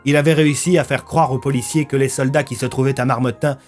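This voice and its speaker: A man's voice: mid-range voice, steady tone, fast, darkish tone. Male storyteller narrating over music. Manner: grave, theatrical storytelling. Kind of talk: scripted dramatic story narration.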